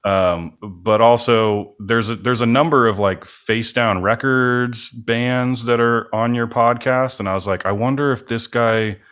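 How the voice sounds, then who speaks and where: A man's voice: mid-range voice, steady tone, unhurried, hardly any pauses, fairly bright tone. A middle-aged man being interviewed on a podcast as a musician, talking casually in a rambling, hedging, self-effacing way. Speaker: podcast guest, band musician and studio owner.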